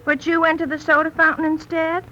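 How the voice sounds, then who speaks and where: A high, bright teenage girl, forthright and suspicious, pressing her questions in 1930s radio comedy style. The recording is an old, muffled broadcast. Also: completely flat delivery, a little fast. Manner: questioning, insistent.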